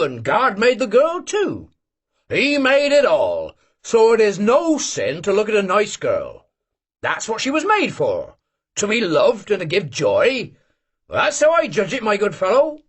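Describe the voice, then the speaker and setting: A man voicing a boisterous, bragging old male Cossack who teases loudly and argues emphatically, in a husky voice. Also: high-pitched, huge pitch swings, slow pace, pitched above the performer's own voice, heavily acted. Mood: preaching. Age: older. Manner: bellowing, teasing, emphatic.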